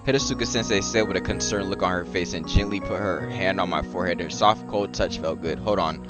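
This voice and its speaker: A man's voice: sits low, a little fast, over loud music. A young man streaming a game, reading visual novel text aloud with casual reactions.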